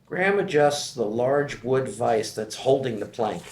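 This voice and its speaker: A man reads screenplay stage directions as scripted narration, setting out scenes and actions. His pitch sits in a middle range and the room around him is clearly echoey. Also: expressive, measured pace, a little mellow.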